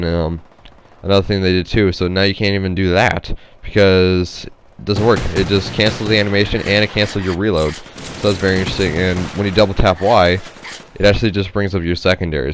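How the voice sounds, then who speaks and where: A man's voice: sits low, clean tone. A man giving casual, rambling, unscripted game commentary, hesitant and nasal from a stuffy head cold. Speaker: gameplay commentator.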